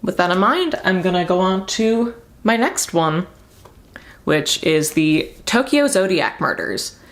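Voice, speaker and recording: A woman's voice: lowish voice, big pitch swings, unhurried. A young woman talking casually and enthusiastically, rambling through book recommendations with emphatic repeats. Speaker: book reviewer and video host.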